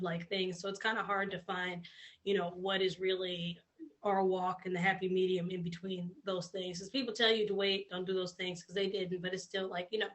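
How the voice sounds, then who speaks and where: Bright-voiced woman hosting a casual chat. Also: fairly low pitch. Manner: chatty, trailing off with fillers. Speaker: host.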